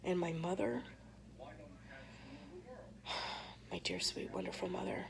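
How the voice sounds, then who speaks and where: Hoarse woman, heavy vocal fry, low voice, soft halting storytelling, crowd murmur behind. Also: wildly swooping pitch. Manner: soft, halting, with many pauses and fillers. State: hoarse, losing her voice. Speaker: vlogger. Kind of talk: personal storytelling to camera.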